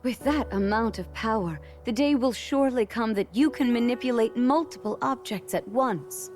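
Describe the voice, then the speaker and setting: An actress playing a gentle, wise queen and mentor in a fantasy game, calm, composed and encouraging. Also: a little high, normal speed, a little hiss or hum, close to an everyday voice.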